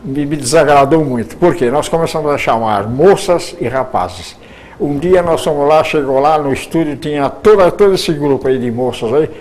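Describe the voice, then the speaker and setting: Older man, halting storyteller. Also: on the high side, expressive, a little mellow, slight background noise. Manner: halting, repeats words and restarts often. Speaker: interviewee, radio drama veteran. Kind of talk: reminiscing interview answers.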